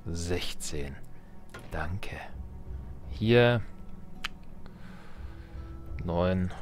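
A man's voice: low voice, expressive, extremely slow. A man giving casual, joking gameplay commentary as a let's player, playing with words and muttering to himself. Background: music.

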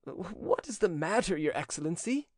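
A man voicing a cowardly, lazy servant in a storybook reading, whining and fawning with drawn-out words. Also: high-pitched, sing-song, no echo, studio quality, pitched above the performer's own voice. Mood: bewildered. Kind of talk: audiobook character acting.

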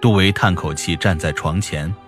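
Deep-voiced male audiobook storyteller. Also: normal speed, faint music behind. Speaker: audiobook narrator. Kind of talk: expressive scripted fiction narration.

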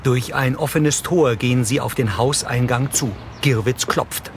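A man's voice: medium pitch, unhurried, noisy background. A man reading neutral, scripted audio description for a film. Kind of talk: neutral scripted narration, present tense.

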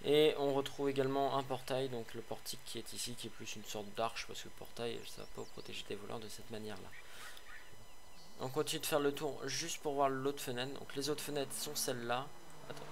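Male game reviewer, slightly hoarse, rambling and sarcastic, mid-pitched, light background noise. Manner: chatty, rambling, many fillers and restarts; sarcastic asides. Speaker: gaming video host. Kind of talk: casual game review commentary.

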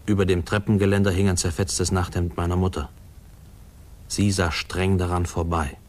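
A man acting as a low-voiced grown man looking back on his boyhood. He tells it wistfully and reflectively, in measured 1950s radio drama narration. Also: flat delivery, lightly acted.

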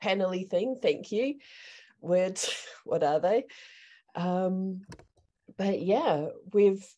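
A woman's voice: medium pitch, lively intonation, very slow, mellow, highs cut off. A woman moderating a panel on a video call from a bedroom at home, wrapping up in a chatty, slightly scattered way. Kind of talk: casual panel wrap-up. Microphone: headset on video call.

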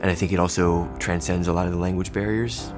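Man in his 30s, deep and even, thoughtful interview talk over music. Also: fast talker. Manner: reflective, explanatory. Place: indoor office with logo backdrop.

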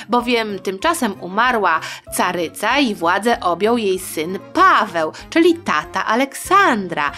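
A woman's voice: medium pitch, fairly bright tone. A woman storyteller narrating history for young listeners in a chatty, colloquial, tongue-in-cheek way. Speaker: storyteller and host.

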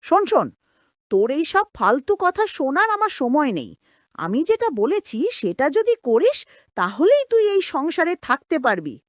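A cruel, nagging aunt from a cartoon drama, acted by a woman. Her high voice swings widely as she scolds and sneers. The sound is dry and close. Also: wildly swooping pitch, moderate pace, no room sound. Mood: mocking. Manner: harsh, sarcastic, nagging.

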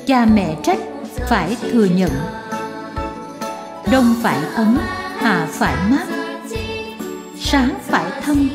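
Female children's theme vocalist: slow singsong delivery, swooping pitch, echoey over music. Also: expressive. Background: jingly children's music.

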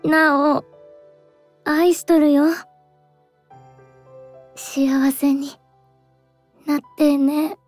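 An actress playing a gentle, devoted fox spirit in an anime audio drama, speaking wistfully and breathily in a western Japanese dialect. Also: female voice, sits high, level tone, very slow, long pauses, soft background music. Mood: fond, fading.

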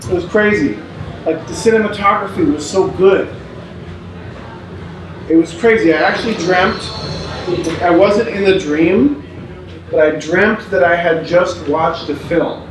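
A man in his forties reads interview answers aloud in a measured, unhurried way, stopping to restart phrases, in a small indoor gallery room. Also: high-pitched, background noise. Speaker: performer reading interview notes. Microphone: far camera mic. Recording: roomy distant sound with faint ambience.

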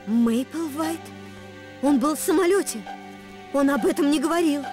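High-voiced determined adventuress. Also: female voice, unhurried, a little mellow, faint music behind. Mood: angry, resolute. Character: determined, protective young mother and adventuress. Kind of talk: animated adventure series dubbing.

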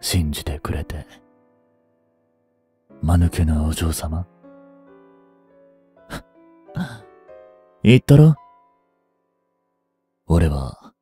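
A young man in his twenties playing a treacherous, smooth-tongued captive prince and spy in a Japanese audio drama, with courteous, theatrical speech that can turn mocking. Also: very deep voice, warm tone, no room sound, heavily acted. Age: 20s. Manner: slow, theatrical, courteous speech slipping into taunts. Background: background music.

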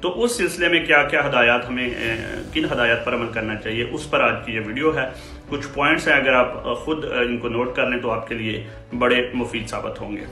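A man in his forties speaks straight to camera in a small home room, his voice bright and forward. He gives steady, measured advice, stopping often to fill pauses. The room rings noticeably around him, with faint music underneath. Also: medium pitch, roomy sound. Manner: measured, with frequent pauses and fillers. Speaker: commentator.

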